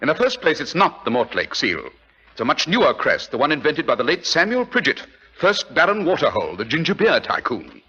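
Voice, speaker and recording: An actor playing a supercilious, teasing English detective in a 1940s radio drama, fussy and condescending. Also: male voice, a little high, sing-song, fairly quick, sharp tone, a little hiss or hum. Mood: superior. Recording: muffled, harsh 1940s radio recording.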